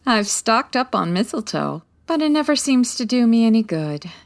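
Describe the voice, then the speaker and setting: Give me a poised, lively 1940s lady detective. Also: fairly high pitch, normal speed, creaky. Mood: wry, fond. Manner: poised, dryly wry, articulate. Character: poised, wry 1940s woman private detective. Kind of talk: comedy audio drama in 1940s radio detective manner.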